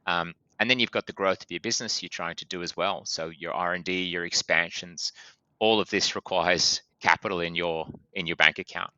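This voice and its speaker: A man with a strong Australian accent, a guest on a business podcast, explaining in a brisk way with many fillers. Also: low voice, fairly even intonation, bright, forceful tone, no room sound, dull audio. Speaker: guest from a funding company. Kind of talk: podcast interview.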